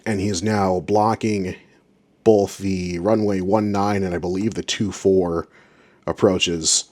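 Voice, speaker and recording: A man's voice: sits low, steady tone, takes it slowly, darkish tone. A man giving informal commentary as an aviation audio reviewer, with an exasperated, sarcastic way of ranting. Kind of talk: informal commentary on radio recordings.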